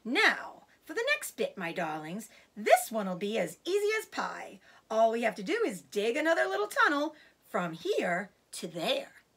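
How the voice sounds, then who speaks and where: A woman's voice: fairly high pitch, huge pitch swings, moderate pace, fairly bright tone, husky, heavily acted. A woman voicing a clever, confident and genial father fox in a children's storybook. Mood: eager, bossy.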